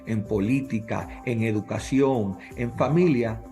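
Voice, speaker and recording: A man's voice: on the low side, unhurried, warm tone. Man, middle-aged, native English speaker, earnest, emphatic, faint background music. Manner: earnest, emphatic, lists points with repetition. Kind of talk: interview answers.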